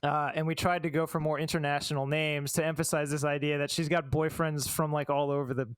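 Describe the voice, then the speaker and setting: A man with a slightly high, clear voice explains things in a casual chat. The recording is dry, with hardly any room sound. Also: little pitch movement, brisk, no room sound. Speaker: game translation team member.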